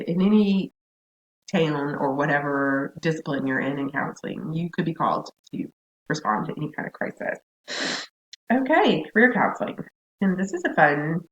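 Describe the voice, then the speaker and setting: A hoarse woman with a scratchy voice, giving a recorded counseling class lecture in a chatty way with asides. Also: deep voice, animated, normal speed, dull audio. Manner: chatty, laughs, self-interrupting asides. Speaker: counseling instructor.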